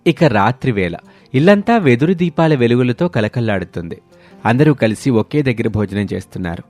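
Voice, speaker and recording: A man's voice: medium pitch, lively intonation, normal speed, a little mellow. Male storyteller over music. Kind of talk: scripted story narration.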